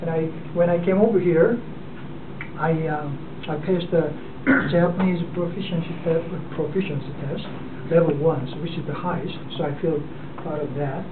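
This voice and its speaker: A man in his forties from South Korea, with a Korean accent, giving an unprepared speech to a club in a halting way, full of fillers and restarts. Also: on the high side. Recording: distant, echoey, phone-like and noisy.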